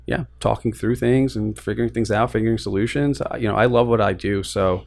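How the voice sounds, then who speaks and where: Young guy from Queens, relaxed guest, lowish voice, laid-back and self-mocking, restarts a lot. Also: fairly quick, vocal fry. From Queens, New York. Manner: easygoing, self-deprecating, frequent restarts. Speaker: guest, lending business co-founder. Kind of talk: casual interview chat.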